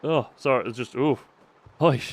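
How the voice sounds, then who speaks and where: A man's voice: mid-range voice, moderate pace, no room sound. A man giving casual live gameplay commentary, rambling playfully and breaking into exclamations and silly sound effects. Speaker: live streamer.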